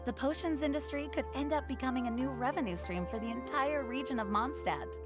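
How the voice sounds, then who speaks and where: A woman's voice: sits high, fairly quick, loud music behind the voice, natural voice. A woman playing an earnest, courteous knight commander in a video game, formal and composed. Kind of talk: video game character acting.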